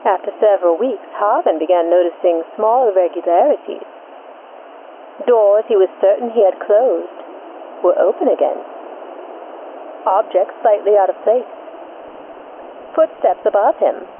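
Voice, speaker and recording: Female narrator, vintage radio suspense host. Deliberate, measured phrasing, mid-pitched, narrow tinny sound with faint static. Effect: old-time radio filter. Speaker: host and narrator of a mystery show. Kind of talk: scripted suspense narration in the manner of 1940s radio.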